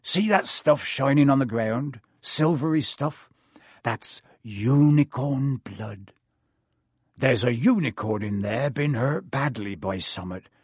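A man voicing a big gruff gamekeeper who talks bluntly with country words. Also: mid-range voice, slow, darkish tone, dry recording, studio quality. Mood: instructing.

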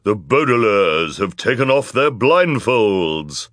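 Low-voiced villainous woman played by a man. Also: sing-song, slow pace, fairly bright tone, dry recording, studio quality, exaggerated voice. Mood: sly, accusing. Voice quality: low, deep. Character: villainous woman judge, low deep voice, crooked, accusing.

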